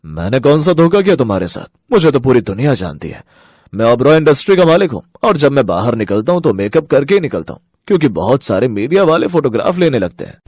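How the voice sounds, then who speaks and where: A man's voice: medium pitch, big pitch swings, quick pace, a little mellow, dry recording, clean studio sound. A man voicing a rich, smug old industrialist bridegroom, self-assured and unapologetic, in a cartoon story.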